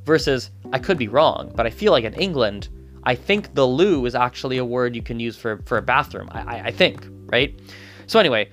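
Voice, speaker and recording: A man's voice: medium pitch, lively intonation, a little fast, a bit bright, soft background music. A man whose first language is Gujarati, talking through his thoughts in a casual, rambling monologue full of restarts and asides. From Canada. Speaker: polyglot vlogger.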